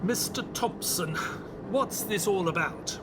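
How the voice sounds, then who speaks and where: A man playing a polite, inquisitive English doctor in an audio drama, expressive and well-mannered. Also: high-pitched, slow pace, background noise. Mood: curious, wary. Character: polite, inquisitive English doctor narrating his detective adventures. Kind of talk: scripted first-person audio drama narration.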